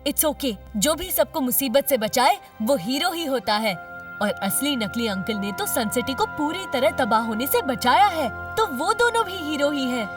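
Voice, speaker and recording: A woman's voice: sits high, expressive, normal speed. A woman voicing a polite, brave young boy hero in a Hindi children's cartoon, earnest and exclamatory. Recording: distant sound under very loud music.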